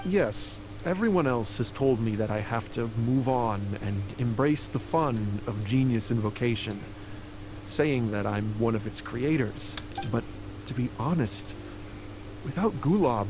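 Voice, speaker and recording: An actor playing an earnest, grieving scholar, a devoted friend, in a video game. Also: male voice, mid-range voice, lively intonation, unhurried, noisy background, close to an everyday voice. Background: background music.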